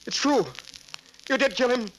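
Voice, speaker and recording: An actor playing an earnest, protective lover with dramatic 1940s radio delivery. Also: male voice, very high voice, moderate pace, a bit bright. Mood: shocked, uneasy. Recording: faintly noisy old radio recording.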